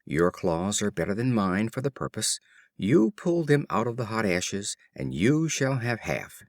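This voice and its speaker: A man reading a storybook voices a cunning, scheming monkey in a brisk, coaxing way. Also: on the low side, big pitch swings, no echo, studio quality.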